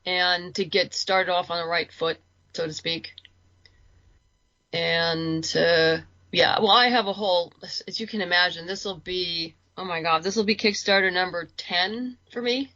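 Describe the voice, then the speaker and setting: A woman talks casually as an interview guest, in a chatty, informal way. Her voice is bright and a little low. The sound is dry and somewhat muffled. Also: fairly even intonation, unhurried, no room sound. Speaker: interview guest, comic and audio drama creator. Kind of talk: casual podcast chat.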